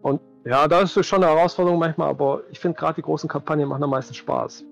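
A man's voice: fairly high pitch, fairly even intonation, quick pace, vocal fry, dull audio. A man in his forties giving casual interview answers as a game master, thinking aloud and repeating words as he searches for them. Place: indoors at home, video call. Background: background music.

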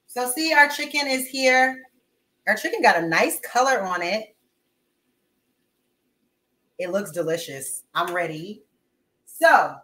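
Young female cooking streamer, bright and higher-pitched, loose conversational delivery to viewers, recorded in a roomy, echoing kitchen. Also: a little hiss or hum. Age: young adult. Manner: informal, slangy, chatting to viewers while moving around. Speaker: cooking livestream host. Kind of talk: casual chatty livestream while cooking. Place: home kitchen, indoors. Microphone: webcam-style mic at arm's length or farther.

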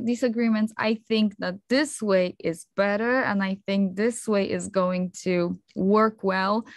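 A woman in her thirties from Poland, a native Polish speaker, chatting as a guest on a video call, relaxed and sprinkled with fillers and small laughs. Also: medium pitch, no echo, studio quality. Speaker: guest, kimono stylist and photographer. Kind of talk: casual video-call interview. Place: small room at home.

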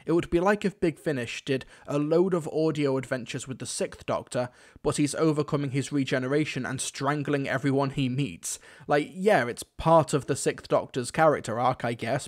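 A male reviewer speaks in a medium-pitched voice. He delivers semi-scripted commentary in an opinionated way, stressing the key words. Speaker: video reviewer.

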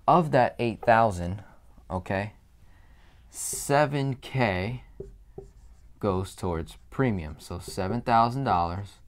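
A young man teaching personal finance at a whiteboard indoors, talking directly and punching the key numbers with emphasis. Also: low-pitched. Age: young adult. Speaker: financial educator.

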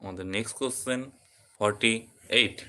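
A man's voice: lowish voice, normal speed, pushed and bright. A man teaching a quiz lesson, calling out questions and answers in short, separate phrases. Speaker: quiz teacher at a coaching centre.

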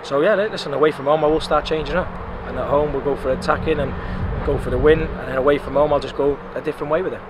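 A man in his thirties, a football club manager, giving a frank, animated post-match interview outdoors beside the pitch, with many "um"s and "you know"s. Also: fast talker, hardly any pauses. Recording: loud outdoor noise with passing traffic.

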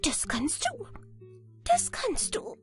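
A woman's voice: extremely high pitch, huge pitch swings, slow pace, soft background music, voice pushed up, heavily acted. A woman voicing a tiny, greedy, bossy old little man from a fairy tale, with a thin piping voice. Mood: satisfied, knowing. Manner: brusque, bossy, impatient. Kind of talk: children's fairy tale reading.

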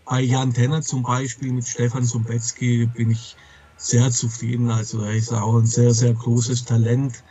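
A slightly dull, lo-fi recording of a man with a medium-pitched voice. He talks casually as an interview guest, rambling a little and doubling back on his own words. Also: monotone, normal speed, dark tone, slightly rough. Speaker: comics and audio drama publisher, interview guest.

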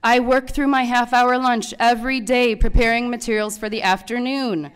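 A woman's voice: on the high side, fairly even intonation, moderate pace, clear, resonant tone. A woman in her thirties, a teacher reading a prepared public comment at a podium microphone in a large meeting hall, earnest and pleading. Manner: earnest, pleading, rising to loud emphasis.

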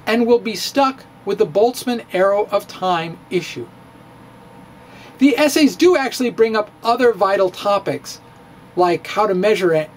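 A middle-aged man giving a dramatic reading of his own physics blog, wry and theatrical, with playful asides, at a stand mic in a small room. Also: high-pitched. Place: small room in front of bookshelves. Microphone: studio mic on stand in front of him.

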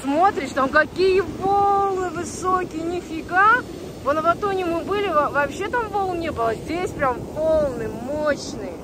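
A woman's voice: very high voice, a little slow. A woman giving casual, admiring and exclamatory travel vlog commentary outdoors. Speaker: travel vlogger. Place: outdoors, on a windy ocean beach. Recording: loud wind and surf noise.

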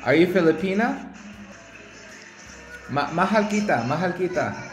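A man's voice: high voice, lively intonation, slow, roomy sound, over music. A man in his twenties chatting playfully and flirting on a webcam in a small room, laughing often. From United States. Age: 20s. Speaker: vlogger chatting with strangers. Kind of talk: casual chat.

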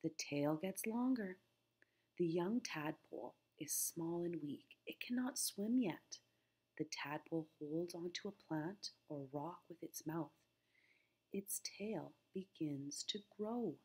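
A woman's voice: fairly low pitch, animated, slow, a little mellow, slightly rough. A middle-aged woman teacher reading a children's nature book aloud, phrasing things simply and putting questions to young listeners.

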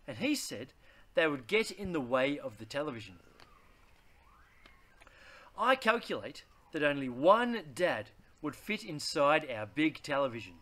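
Animated man reading a picture book. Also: a little high. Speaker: read-aloud host. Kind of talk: expressive children's picture book read-aloud.

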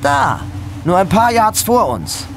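A man's voice: high-pitched, huge pitch swings, slow pace, vocal fry, heavily acted. An actor playing the alert, practical male partner of a ghost hunter in an audio drama. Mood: alert, curious. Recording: loud ambient sound effects behind voice. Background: loud ambience noise.

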